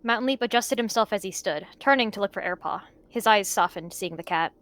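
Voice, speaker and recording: Soft-spoken woman narrating a roleplay. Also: medium pitch, brisk. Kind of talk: roleplay storytelling with character voices.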